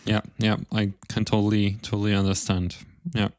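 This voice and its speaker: Man, podcast host, fairly low voice, dry recording, polite, conversational questioning. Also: monotone, normal speed, darkish tone, dry recording. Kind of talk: casual interview questions.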